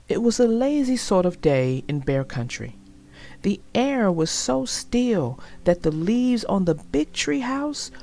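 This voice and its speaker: Slow, expressive woman reading a children's story. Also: lowish voice, creaky. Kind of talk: expressive read-aloud storytelling.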